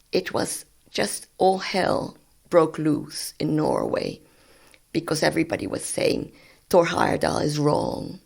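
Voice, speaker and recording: A woman in her sixties whose first language is Spanish, a guest scientist telling stories of her work in a relaxed, animated way. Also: sits low, gravelly, husky. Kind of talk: conversational storytelling.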